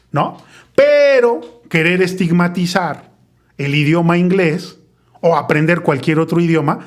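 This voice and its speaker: A man in his forties with a Mexico City accent, hosting a casual chat and wandering off on tangents, often tagging his points with a question. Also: fairly high pitch. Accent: Mexico City Spanish. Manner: chatty, digressive, tags phrases with "¿no?". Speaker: podcast host.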